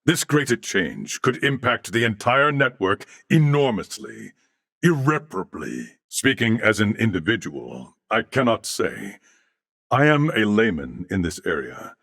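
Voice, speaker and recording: Cybernetic alien from a game, low gravelly voice, expressive swoops in pitch, formal and courteous, precise diction. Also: male voice, a little slow, dry recording, clean studio sound, heavily acted. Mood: solemn, composed. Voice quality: deep, gravelly. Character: calm, courteous cybernetic alien being of a networked collective. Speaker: video game character. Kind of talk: dramatic video game voice acting.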